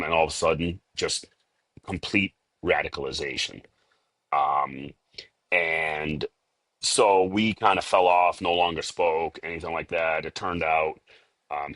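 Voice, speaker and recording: Interview guest: man, forties, from Liberia, Boston accent, very low voice, dry close sound, halting with fillers. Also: sharp tone, no room sound. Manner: halting, many fillers and restarts. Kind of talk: casual interview talk.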